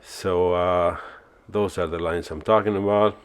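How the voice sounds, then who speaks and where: A man from Argentina, narrating his woodturning work in a relaxed, self-mocking voice-over with many fillers. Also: deep voice, unhurried, clean tone. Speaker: woodturning channel host.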